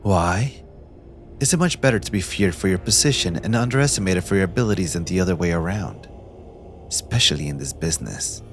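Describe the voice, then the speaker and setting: A man playing a possessive, manipulative young mafia heir in an audio roleplay, condescending and smoothly taunting with theatrical politeness. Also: deep voice, expressive, fairly quick, soft background music. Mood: smug, calculating. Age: young adult. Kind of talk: scripted ASMR audio roleplay addressed to the listener.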